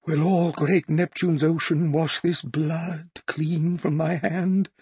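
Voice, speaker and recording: An actor playing a haunted, guilt-stricken nobleman in a theatrical Shakespearean drama, husky, breathy, tense and halting. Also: fairly high pitch, flat delivery, darkish tone, dry recording, clean studio sound, full character voice. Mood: anguished, grave.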